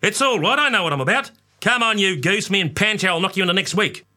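A man's voice: sits high, animated, fast talker, pushed and bright, voice pushed up, heavily acted. A man with an Australian accent voicing a blunt, swaggering working-class father who swears casually. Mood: blustery. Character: rough, blustery working-class dad.

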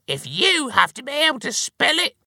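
A man's voice: extremely high pitch, huge pitch swings, moderate pace, gravelly, sharp tone, dry recording, clean studio sound, pitched above the performer's own voice, full character voice. A man voicing a dopey, grumpy, scornful wife in a children's storybook. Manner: scornful, snorting, harrumphing. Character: dopey, grumpy, ugly middle-aged wife, scornful and bellowing.

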